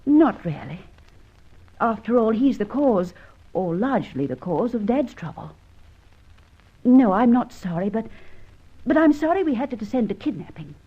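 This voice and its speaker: On a dull old radio recording, a loyal young accomplice in a detective drama. Her voice is high and a little husky, with lively, earnest delivery. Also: moderate pace, long pauses. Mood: earnest, troubled.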